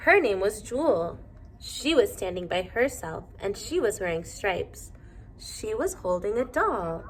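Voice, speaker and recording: A woman teacher reading a storybook aloud to young children, pausing to ask them simple questions. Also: medium pitch, big pitch swings.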